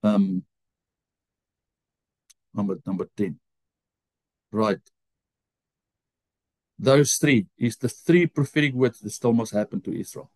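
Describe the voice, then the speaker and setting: A man with an Afrikaans accent, whose first language is Afrikaans, teaching a Bible college lesson over a video call. He reads scripture aloud slowly and often stops to correct himself. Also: lowish voice, animated, a little mellow, dry recording.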